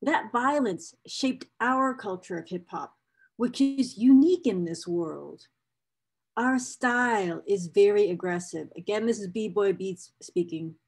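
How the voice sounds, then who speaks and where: A woman's voice: medium pitch, a little slow, slightly rough. A woman reading a book excerpt aloud as a presenter, with casual asides to viewers, speaking enthusiastically and repeating phrases for emphasis. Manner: enthusiastic, emotionally invested.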